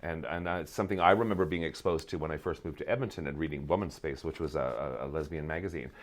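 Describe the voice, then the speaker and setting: A man with a low-pitched voice chats as a theatre guest on a podcast. He is wry and wanders off on asides, reworking his sentences as he talks. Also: fast talker. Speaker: playwright and performer, podcast guest. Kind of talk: casual interview chat.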